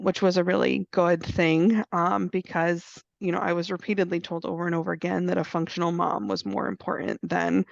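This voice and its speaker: A woman's voice: medium pitch, gravelly, dry recording. A woman in her thirties who has a cold, earnestly telling her personal story on a video call with many fillers. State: has a cold, clears throat. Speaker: guest speaker sharing lived experience. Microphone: computer mic on a video call. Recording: dull, dry video call audio.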